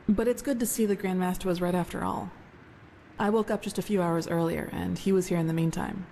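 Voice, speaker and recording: An actress playing an earnest, thoughtful female novice of a holy order in fantasy game dialogue, measured and reflective. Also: lowish voice, a little mellow, a little hiss or hum, lightly acted. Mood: relieved, friendly.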